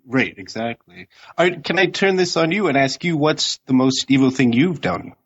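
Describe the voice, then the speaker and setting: A man calling in to a radio show, chatting casually and politely with hesitant pauses. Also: mid-range voice, animated, husky. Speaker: call-in listener. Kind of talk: casual radio call-in chat. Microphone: internet call. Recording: slightly dull internet call audio.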